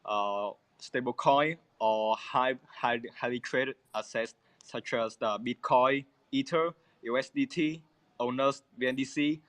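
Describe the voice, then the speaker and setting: A man from a crypto project clarifying answers, pausing often on "uh" between phrases. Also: mid-range voice, fairly bright tone, no room sound. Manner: measured, with frequent "uh" pauses. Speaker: crypto project team member. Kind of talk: answering AMA questions.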